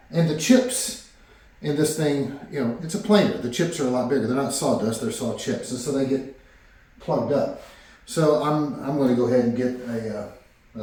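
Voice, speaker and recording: A man's voice: mid-range voice, warm tone, distant mic. A man narrating his woodworking project in a casual, rambling way, with frequent fillers and restarts, recorded in his home workshop. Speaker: woodworking vlogger. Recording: echoey workshop, voice off-mic.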